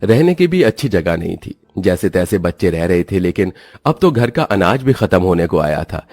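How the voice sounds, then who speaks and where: A man with a fairly low voice narrates a children's story from a script. His intonation is highly animated and moves up and down a lot. The recording is dry, with no room sound. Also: normal speed, dry recording.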